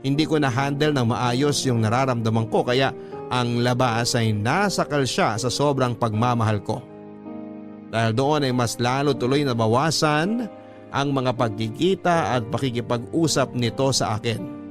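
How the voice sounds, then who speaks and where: Male Filipino radio drama narrator, mid-pitched, first-person storytelling over a clear music bed. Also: moderate pace. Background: background music.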